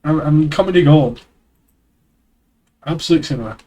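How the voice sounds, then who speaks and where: A man's voice: a little high, normal speed, mellow. A congested man streaming casually, reading a webcomic aloud and chatting in a rambling, self-deprecating way with casual swearing, on an external desk mic. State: congested, stuffy nose.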